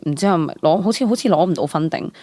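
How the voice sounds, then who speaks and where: A young woman with a Hong Kong Cantonese accent chatting casually as an interview guest, animated and dropping English words into her speech. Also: on the low side, very fast, no echo, clean studio sound. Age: young adult. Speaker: guest, stage actress.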